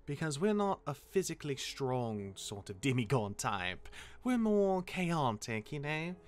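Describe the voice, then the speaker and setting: Man as a demigod big-brother mentor, lively pitch. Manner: conversational, instructive, hesitant asides. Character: chatty demigod elder brother, patient but fallible mentor. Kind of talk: fantasy audio drama acting.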